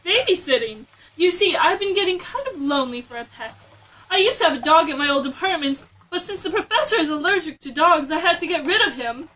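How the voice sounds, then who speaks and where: Vain, perky young secretary for comic audio drama female performer; very high, animated voice. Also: brisk, exaggerated voice, rough recording. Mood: chatty, breezy.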